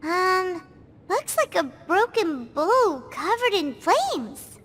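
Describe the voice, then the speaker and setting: A woman's voice: very high voice, sing-song, takes it slowly, a bit bright, soft background music, exaggerated voice. An actress playing a small, cheerful, curious childlike fairy girl in a video game, chirpy and exclamatory. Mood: pondering.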